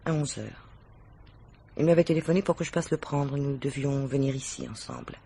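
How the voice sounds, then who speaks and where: An actress playing a worldly, composed middle-aged married mistress questioned by police in a French radio mystery, with measured, formal diction. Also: female voice, extremely low pitch, warm tone, breathy edge, full character voice. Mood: guarded confession.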